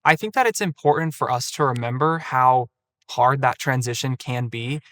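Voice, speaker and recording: A man's voice: mid-range voice, moderate pace, a bit bright, no echo, clean studio sound. A young man from Atlanta, a student coordinator, answering interview questions in an orderly, explanatory way. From Atlanta, Georgia. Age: young adult.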